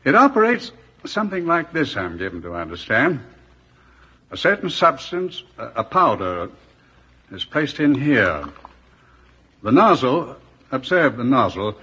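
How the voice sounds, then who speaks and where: An actor playing a pompous, wordy British police superintendent narrating an old radio drama, on a dull vintage recording. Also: male voice, mid-range voice, big pitch swings, unhurried, pauses often, fairly bright tone, slightly rough, full character voice. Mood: pompous, dry. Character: pompous police superintendent, custodian of a crime museum.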